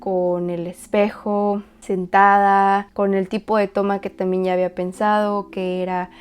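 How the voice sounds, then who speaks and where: A woman dancer and choreographer calmly walking through how she built a dance video, speaking in a measured, reflective way with pauses. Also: medium pitch, clean tone. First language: Spanish. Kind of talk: explanatory walkthrough.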